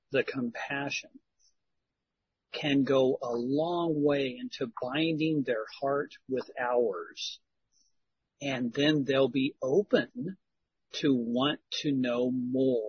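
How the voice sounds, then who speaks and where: A man leading a church Bible discussion in a slow, earnest, pastoral way. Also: medium pitch, husky, dry recording, lo-fi sound. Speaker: Bible study leader.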